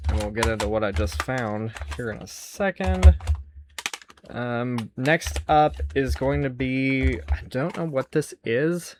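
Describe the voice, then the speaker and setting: A man's voice: medium pitch, slow, a little hiss or hum. A man hosting a casual, unscripted show-and-tell of his collection, rambling and musing aloud as he goes. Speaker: host showing a music cassette collection.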